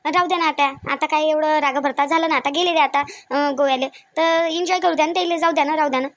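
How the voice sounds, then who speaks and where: A woman's voice: high voice, steady tone, fast talker, no room sound, close to an everyday voice. A woman voicing a young married daughter-in-law in a cartoon family skit, meek and evasive, with a Vidarbha Marathi accent. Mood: placating. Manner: placating, apologetic, repeats denials.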